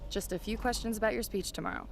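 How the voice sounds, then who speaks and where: A woman's voice: mid-range voice, fast, gravelly, close to an everyday voice. Coaxing, playful woman, acted, over music. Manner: coaxing, breezy. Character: playful, persistent woman questioning a senator. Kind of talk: audio drama acting. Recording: music behind.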